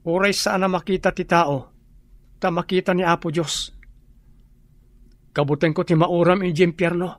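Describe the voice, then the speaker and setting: A man's voice: sits high, little pitch movement, normal speed, pauses often, no echo, close to an everyday voice. A man acting in an Ilocano radio drama as a reluctant, God-fearing young student son, earnest and pleading. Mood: fearful, pleading.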